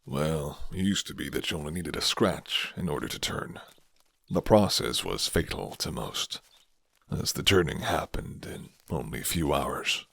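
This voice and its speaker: A man voicing a gruff, flirty but guarded werewolf in an intimate, murmured ASMR roleplay, with teasing pauses. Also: lively intonation, fairly bright tone, no room sound, heavily acted. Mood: musing, low. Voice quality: deep, low and gravelly.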